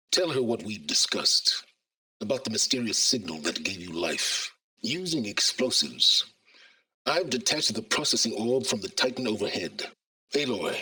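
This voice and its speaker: An actor playing an arrogant, secretive older scholar in a video game, gravelly and pompous, with deliberate, condescending formal phrasing. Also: male voice, on the low side, bright, forceful tone, no room sound, full character voice, rough recording. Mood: urgent, commanding. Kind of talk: video game dramatic acting.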